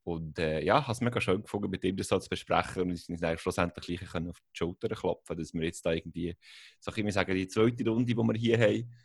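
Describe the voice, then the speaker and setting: A man's voice: deep voice, fast, gravelly, breathy edge, dry recording, clean studio sound. A young man with a Swiss German accent, talking hesitantly with many fillers on a video call. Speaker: student abroad. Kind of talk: casual group call.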